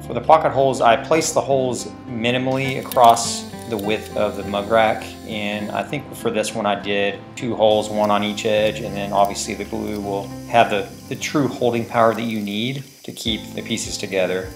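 Relaxed man in a woodshop. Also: on the low side, moderate pace, few pauses, music in the background. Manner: relaxed, plain-spoken, many fillers. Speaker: woodworking channel host. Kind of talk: casual how-to narration. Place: woodworking shop indoors. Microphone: lapel mic.

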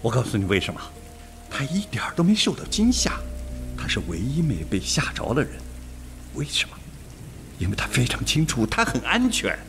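A man plays a suspicious police detective in a radio mystery. He is excitable and insistent, his mid-pitched voice swinging widely up and down. Faint music sits underneath. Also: moderate pace, gravelly, exaggerated voice. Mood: urgent, suspicious. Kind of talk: Mandarin radio drama acting.

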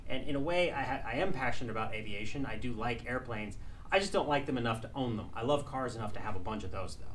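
A man's voice: mid-range voice, quick pace, fairly bright tone, a little hiss or hum. A young man, an aircraft mechanic, talking casually to camera in an indoor workshop, rambling and correcting himself as he goes. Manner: rambling, with restarts and self-corrections. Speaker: aircraft mechanic and channel host. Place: indoor workshop classroom with benches and tool cabinets.

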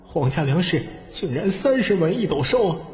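A man voicing an excitable, self-pitying middle-aged male grain shop clerk in an audiobook, wailing and exclaiming. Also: high-pitched, normal speed, reverberant, big-room sound, faint music behind, voice pushed up. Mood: wailing grief.

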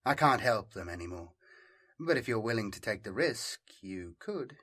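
A man's voice: lowish voice, big pitch swings, moderate pace, fairly bright tone. A man voicing a smug, self-assured male fugitive in an audiobook, superior and teasing in manner. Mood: appraising, persuasive. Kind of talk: audiobook character dialogue.

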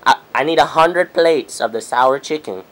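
Halting young man on a casual call. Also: fairly high pitch, a bit bright. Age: young adult. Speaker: prank caller, video host. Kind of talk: casual phone prank call, playing a customer. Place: small room at home.

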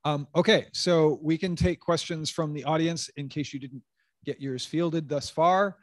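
A man's voice: a little high, fairly even intonation, unhurried, no echo. Chatty man moderating a science panel. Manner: loose, thinking aloud with many restarts. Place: lecture room, indoors. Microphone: handheld mic.

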